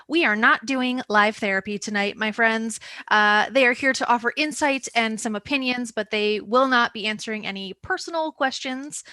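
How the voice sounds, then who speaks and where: A woman's voice: a little high, pushed and bright, no echo. A woman moderating an online roundtable over a video call, chatty and upbeat, stretching words for emphasis.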